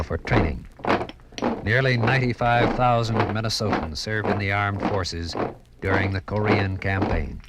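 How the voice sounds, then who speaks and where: Casting: male radio narrator, mid-range voice, measured and dramatic, old-time broadcast delivery. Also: medium pitch, heavy background noise. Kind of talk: 1950s scripted radio documentary narration.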